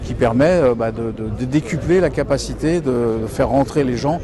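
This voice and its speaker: A man's voice: medium pitch, normal speed, a crowd in the background. A man, a local elected official, explaining things in an interview in colloquial, hesitant phrasing.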